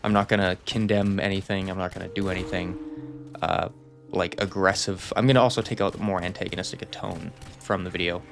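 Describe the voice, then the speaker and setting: Young guy rambling thru a channel update, lowish gravelly voice, faint music behind. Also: fairly even intonation, a little fast. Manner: rambling, self-deprecating, reassuring. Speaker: channel host. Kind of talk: casual channel update monologue.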